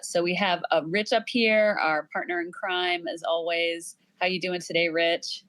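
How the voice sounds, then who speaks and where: A woman's voice: on the low side, fairly even intonation, measured pace, fairly bright tone, dry recording. A woman hosting a casual, conversational interview discussion. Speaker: podcast host.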